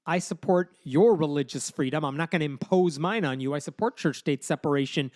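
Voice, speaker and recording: A man's voice: a little high, brisk, darkish tone, dry recording, studio quality. A man co-hosting a podcast, walking through poll numbers and arguing his points in casual chat. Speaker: podcast host.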